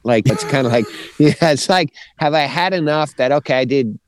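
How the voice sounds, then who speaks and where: A man's voice: medium pitch, normal speed, no room sound. A man co-hosting a show about writing in a casual, conversational way.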